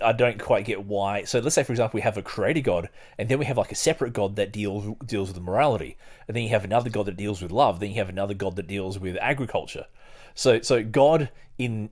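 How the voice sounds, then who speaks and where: A man with a fairly low voice hosts a podcast. He speaks in a casual, discursive way, feeling his way through phrases and sometimes reading aloud. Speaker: podcast host.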